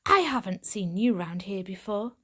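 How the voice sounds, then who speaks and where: A woman voicing a tough, suspicious female street cat, speaking in a gravelly, breathy whisper with curt, gruff questions. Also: mid-range voice, lively intonation, normal speed, a bit bright.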